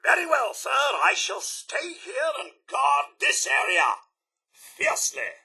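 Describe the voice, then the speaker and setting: A man's voice: very high-pitched, wildly swooping pitch, slow pace, creaky, pushed and bright, exaggerated voice. A man voicing a devoted, fussy British robot butler in a video game, speaking with formal, effusive, theatrical politeness. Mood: loyal, eager. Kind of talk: video game character acting.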